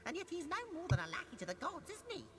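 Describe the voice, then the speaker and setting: An actress playing a scornful demon's thrall in a fantasy game, mocking and theatrical. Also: female voice, very high-pitched, big pitch swings, fast talker, fairly bright tone, exaggerated voice. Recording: faint, distant, noisy game audio.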